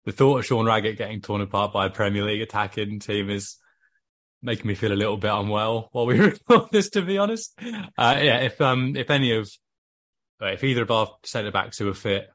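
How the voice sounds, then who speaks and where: A Welsh man chatting casually about his football club on a podcast, measured and full of fillers. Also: on the low side, a bit bright. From Wales.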